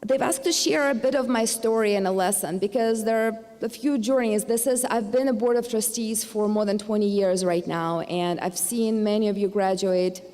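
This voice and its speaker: A middle-aged woman with a Ukrainian accent delivers a commencement address on behalf of a university board. She speaks earnestly at a middling pitch, now and then stumbling into fillers and restarts. Her voice carries a long reverb from a large hall. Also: normal speed, few pauses, warm tone. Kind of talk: formal commencement speech.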